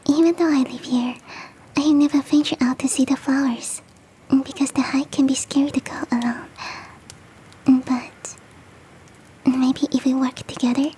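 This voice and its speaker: Casting: woman to play a gentle, doting yeti hostess for ASMR roleplay, high-pitched but mellow, whispering affectionately over faint noise. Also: close to an everyday voice, rough recording. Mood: hopeful, eager. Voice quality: soft, breathy whispering. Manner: gentle, coaxing, affectionate, self-deprecating. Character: big, sweet, doting female yeti hostess.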